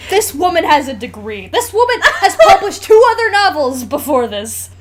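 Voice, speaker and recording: A woman's voice: high voice, very animated, moderate pace. A young woman co-hosting a podcast, casually picking apart a book with emphatic mock exasperation and some swearing. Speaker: podcast co-host. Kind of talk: casual comedic book discussion.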